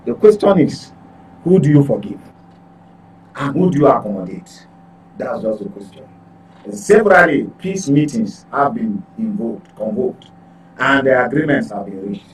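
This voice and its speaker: A man's voice: medium pitch, expressive, moderate pace, low-quality recording. A man with a Nigerian accent giving impassioned political commentary as a radio panelist, emphatic, with rhetorical questions and repeated phrases. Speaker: studio panelist on a political radio talk show.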